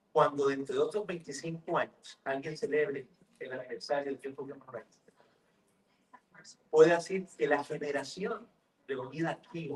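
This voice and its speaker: A man giving a formal conference speech to young people, measured and unhurried with occasional hesitant pauses. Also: a little high. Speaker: conference speaker. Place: conference hall. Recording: distant mic with faint background noise.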